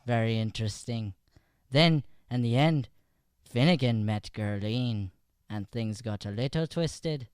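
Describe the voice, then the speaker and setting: A man's voice: mid-range voice, measured pace, smooth, dry recording, clean studio sound. A man performing as an improv comedian, bantering and recapping a show informally into a podcast microphone.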